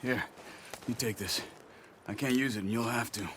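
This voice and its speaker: An actor playing a calm, terse hunter in a game, firm and quietly assured. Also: male voice, medium pitch, normal speed, background noise, lightly acted. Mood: resolute. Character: stoic, determined male hunter, quietly assured. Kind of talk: video game cutscene acting.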